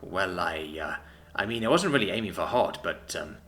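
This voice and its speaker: A man reading a story, voicing an awkward young man who speaks quietly and haltingly. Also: deep voice, expressive, voice pushed down, heavily acted.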